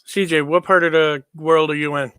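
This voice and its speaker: A man's voice: on the high side, steady tone, normal speed, sharp tone, smooth, no echo. A man chatting casually on a livestream, easygoing and informal as he replies to viewers. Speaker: livestream host.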